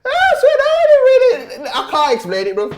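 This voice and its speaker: A young British man co-hosting a casual talk show, jokey and animated, laughing often as he talks. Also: very high-pitched, normal speed, a little hiss or hum. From United Kingdom. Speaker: co-host. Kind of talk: casual chat.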